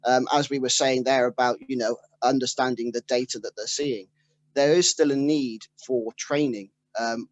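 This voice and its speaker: A man's voice: medium pitch, no room sound, low-quality recording. A man speaking as a panelist who is an engineering trainer, explaining earnestly and steadily. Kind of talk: panel discussion.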